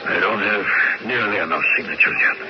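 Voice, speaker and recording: An actor playing a grimly resolute Italian fascist councillor in a radio drama, speaking in a deliberate, theatrical, oratorical way. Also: male voice, fairly low pitch, over a noisy crowd, natural voice. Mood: grave, conspiratorial. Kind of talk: American radio drama acting. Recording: noisy, dull old radio broadcast.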